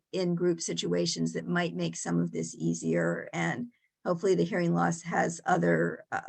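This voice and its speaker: A woman with a lowish, gravelly voice gives earnest advice on aging. She speaks thoughtfully and pauses often with ums. She is a guest on a panel webinar. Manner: thoughtful, earnest, pausing with fillers.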